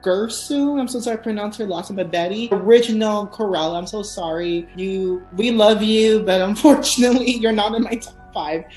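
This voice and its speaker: A woman's voice: medium pitch, normal speed, hardly any pauses, darkish tone, echoey room, soft background music, rough recording. A woman hosting a casual video chat with a guest, bubbly and theatrical. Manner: bubbly, theatrical, apologetic about pronunciations. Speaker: host.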